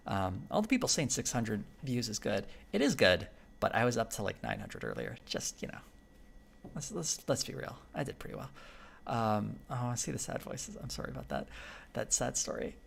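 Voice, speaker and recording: Male streamer, raspy, heavy gravel in the voice, loose rambling delivery. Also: medium pitch, huge pitch swings, moderate pace, slight background noise. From Canada. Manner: rambling, many fillers and restarts. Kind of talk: casual live-stream chat with viewers.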